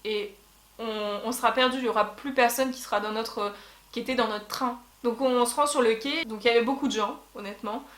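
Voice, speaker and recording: A woman's voice: fairly high pitch, fairly even intonation, brisk, fairly bright tone. A young woman vlogger telling a travel story in a casual chat, with many fillers and restarts. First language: French. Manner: chatty, lots of fillers and restarts. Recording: echoey room.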